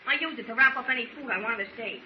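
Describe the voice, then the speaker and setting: A man's voice: extremely high pitch, racing, a little hiss or hum. A male actor playing a nervous, defensive underling with uneducated speech in a 1940s-style radio serial. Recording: muffled, echoey, distant old radio recording.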